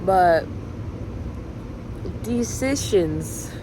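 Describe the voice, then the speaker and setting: A young woman whose first language is Spanish, thinking out loud in a rambling, reflective monologue full of fillers, recorded outdoors. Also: medium pitch, animated, drawn-out words. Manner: musing, filler-heavy, drifting between thoughts. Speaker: vlogger. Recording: street and traffic noise behind.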